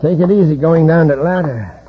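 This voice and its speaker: Smug space villain boss, husky voice, calculating, bossy, muffled old radio show. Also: male voice, on the high side, a little fast. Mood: smug, commanding. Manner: commanding, smug. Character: calculating, smug male leader of space thieves. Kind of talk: 1950s radio drama acting.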